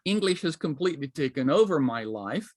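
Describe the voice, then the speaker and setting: A man on a panel, a writer, recalling his childhood in a relaxed, measured way. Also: fairly high pitch, no echo, clean studio sound. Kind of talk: personal storytelling on a panel.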